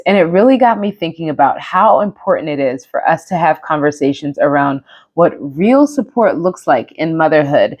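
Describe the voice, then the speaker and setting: A woman hosts a podcast intro in a fairly low-pitched voice. Her delivery is upbeat and personal, and she is quick to laugh at herself. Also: darkish tone. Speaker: podcast host.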